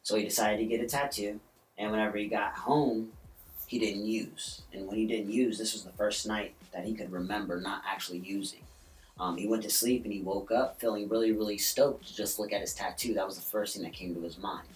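Low-voiced man in an echoey room. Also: fairly even intonation, fairly quick. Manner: rambling, with many ums and "basically". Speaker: podcast co-host. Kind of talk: casual storytelling chat. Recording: roomy echo, distant from the mic.

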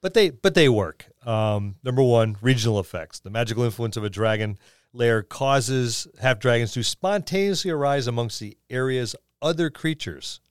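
Male co-host for a casual gaming podcast, average pitch, wry and quick to quip, very dry room sound. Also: animated, clean tone, dry recording. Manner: wry, quipping. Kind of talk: casual chat about tabletop roleplaying.

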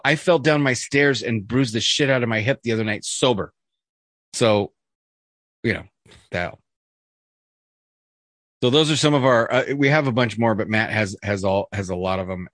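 A man's voice: on the low side, a bit bright, no echo, studio quality. A man co-hosting a casual podcast chat, reading a list aloud with jokey asides, fillers and restarts. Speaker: podcast co-host.

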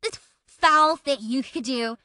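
A woman's voice: high-pitched, slow, pushed and bright, no echo, clean studio sound, heavily acted. A woman dramatically reading the texts of an abusive, self-pitying woman, in an accusatory, aggrieved manner. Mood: threatening, aggrieved. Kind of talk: dramatic reading of a text exchange.

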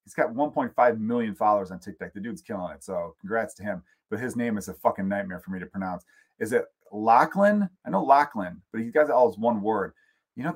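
An American man in his late thirties hosting a comedy podcast, delivering a casual, profane, emphatic rant. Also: mid-range voice. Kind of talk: casual ranting monologue.

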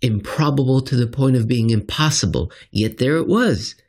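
A man narrating a written finance commentary in an expressive, scripted style with dramatic, deliberate phrasing. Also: mid-range voice, breathy edge.

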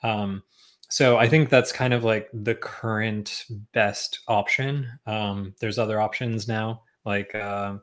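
A young man talking as a guest on a podcast, relaxed, with lots of ums and likes and frequent chuckles. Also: on the low side, slow. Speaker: podcast guest, tech founder. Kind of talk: casual interview chat.

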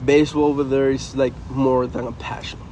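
A man's voice: mid-range voice, level tone, fairly quick, warm tone, a little hiss or hum. Venezuelan-accented young man, earnest and loose. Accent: Venezuelan Spanish. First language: Spanish. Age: young adult. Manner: earnest, loose, frequent "man" and "you know". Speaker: college baseball player, guest. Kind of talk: casual interview chat.

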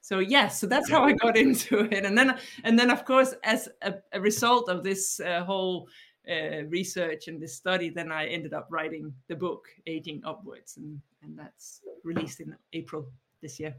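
A woman's voice: on the low side, steady tone, normal speed, fairly bright tone. Thoughtful Danish-accented woman in her fifties. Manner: thoughtful, explaining at length. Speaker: author and mindfulness teacher. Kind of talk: interview answers.

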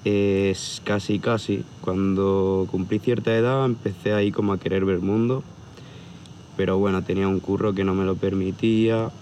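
Deep, flat-voiced man from Barcelona. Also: slight background noise. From from near Barcelona, Spain. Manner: relaxed, rambling, with hesitations. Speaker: tattoo artist guest. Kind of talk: casual interview chat. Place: outdoor hilltop viewpoint at sunset. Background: crickets and outdoor ambience.

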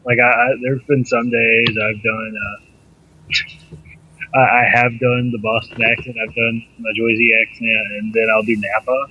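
Husky, bright-voiced guy joking on a podcast. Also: mid-range voice, level tone, unhurried, highs cut off. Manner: jokey, self-mocking banter. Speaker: podcast co-host. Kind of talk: casual gaming podcast chat.

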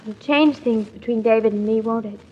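High-voiced, girlish young wife. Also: moderate pace, slightly rough. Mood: anxious, wistful. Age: young adult. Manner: artless, wondering, questioning. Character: naive, talkative young expectant wife, anxious and girlish. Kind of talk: old-time radio drama acting. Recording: dull, lo-fi old radio recording.

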